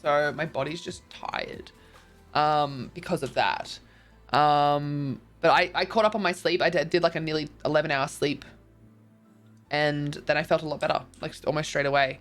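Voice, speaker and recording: A woman's voice: sits low, fairly even intonation, normal speed, soft background music. A tired, congested woman, chatting casually as a streamer and reading viewer messages, rambling with lots of ums and likes.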